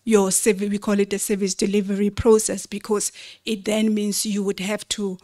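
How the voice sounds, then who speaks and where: A woman giving an explanatory slide presentation at a convention, in a measured, didactic way with rhetorical questions. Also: medium pitch, level tone, warm tone.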